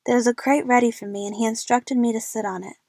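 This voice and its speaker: A woman's voice: on the high side, steady tone, fast, darkish tone, no room sound, clean studio sound. A woman narrating a first-person story as the lead of an audio drama.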